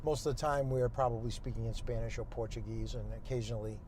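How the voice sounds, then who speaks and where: Man, sixties, mid pitch, measured, halting, explanatory interview answers, faint vehicle noise. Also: steady tone, a little mellow. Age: 60s. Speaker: guest, nonprofit executive director. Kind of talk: unscripted interview conversation. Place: inside a moving car. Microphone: clip-on lapel mic.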